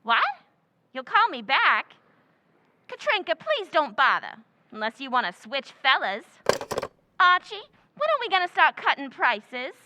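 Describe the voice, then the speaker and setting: A scatterbrained young woman gushes, hunting for a husband, as a woman acts her out. Her voice is very high, bright and bouncy, in the style of an old-time radio comedy. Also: very animated, measured pace, full character voice. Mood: giddy. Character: man-hungry, scatterbrained young woman Miss Duffy.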